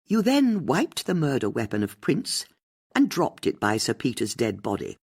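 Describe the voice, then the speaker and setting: A woman's voice: rumbling low, wildly swooping pitch, moderate pace, a little mellow, husky. A woman reading a mystery novel as an audiobook narrator, in scripted storytelling style.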